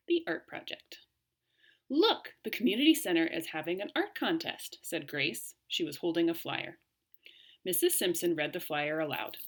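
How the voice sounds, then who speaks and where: Female teacher for children's read-aloud; slightly low-set voice, highly animated intonation, clear phrasing. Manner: expressive, clearly phrased for young listeners. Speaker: teacher reading aloud to pupils. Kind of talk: read-aloud storytelling and lesson talk for children.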